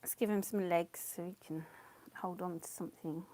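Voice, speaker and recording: A woman's voice: on the low side, fairly even intonation, normal speed, warm tone. A woman chatting casually as she paints on a livestream, her voice partly lost and coughing, laughing often and answering comments. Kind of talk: casual chat while painting.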